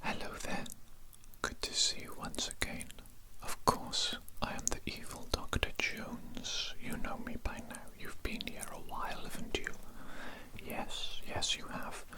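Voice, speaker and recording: An evil cannibal doctor, played by a man for an ASMR roleplay, speaks entirely in a breathy whisper. He is formal, menacing and controlling. His phrases come politely and are often repeated. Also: a little slow, natural voice, low-quality recording. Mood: controlled, menacing. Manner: formal, politely domineering, repetitive. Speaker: ASMR performer.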